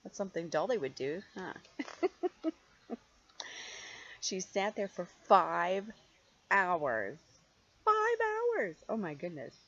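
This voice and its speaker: A woman's voice: fairly low pitch, big pitch swings, takes it slowly, pauses often, a bit bright, muffled recording. An older woman reads a storybook aloud like a grandmother, expressively and playfully, drawing out key words and adding chatty asides. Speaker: grandmother reading stories to children.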